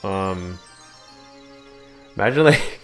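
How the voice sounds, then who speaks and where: Rambling young man with a low voice. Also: drawn-out words, soft background music. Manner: rambling, self-described monotone. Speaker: let's play host. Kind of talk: casual gameplay commentary.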